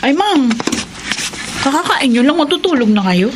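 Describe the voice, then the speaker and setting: A woman's voice: sits high, sing-song, normal speed, background noise. An actress playing a caring, hard-up mother who works as a housemaid in a radio drama, plain-spoken and deferential to her employer. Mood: hesitant, puzzled. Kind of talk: Tagalog radio drama acting.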